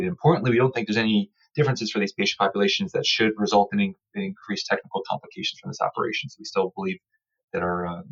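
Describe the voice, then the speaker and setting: A man's voice: low voice, fairly bright tone, lo-fi sound. A man explaining research in an interview with formal, careful, technical phrasing. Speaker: surgical researcher interviewed as study author.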